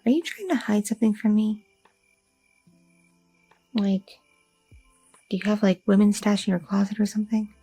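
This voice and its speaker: A woman's voice: medium pitch, normal speed, long pauses, mellow, slightly rough, dry recording, soft background music, poor audio. A woman playing a rambling, overthinking goth girlfriend. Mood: anxious. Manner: rambling, self-doubting, talks through her worries. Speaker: ASMR roleplay performer. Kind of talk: ASMR audio roleplay.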